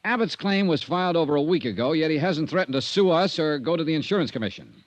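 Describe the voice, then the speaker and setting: A man's voice: on the high side, lively intonation, fast talker, dry recording, natural voice. Man playing a wry hard-boiled American radio detective. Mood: businesslike. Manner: clipped, probing, dry. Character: hard-boiled, persistent male insurance investigator, wry and self-assured. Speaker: lead actor and narrator. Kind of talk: 1950s radio detective drama acting. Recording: noisy vintage radio recording.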